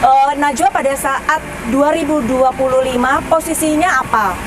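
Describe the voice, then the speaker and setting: A woman's voice: sits high, normal speed, background noise. A woman doing a chatty live broadcast interview, encouraging and prompting with questions. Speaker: field reporter interviewing guests. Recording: music playing behind the voice.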